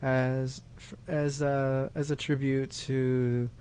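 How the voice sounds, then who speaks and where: Flat, mellow man rambling casually. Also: medium pitch, a little hiss or hum. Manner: halting, repetitive, rambling. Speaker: channel host and dub producer. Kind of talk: casual unscripted thank-you talk.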